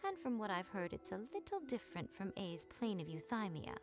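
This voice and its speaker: An actress playing a sly, teasing woman of high rank, languid and knowing as she speaks to a younger companion. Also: big pitch swings, fairly quick, music in the background. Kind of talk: video game voice acting.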